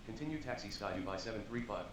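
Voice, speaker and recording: A man's voice: on the low side, lively intonation, fast. A man voicing an airline pilot in a simulator game, giving clipped readbacks over aircraft radio. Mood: businesslike. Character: brisk male airline pilot radioing the tower. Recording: noisy, echoey, distant radio transmission.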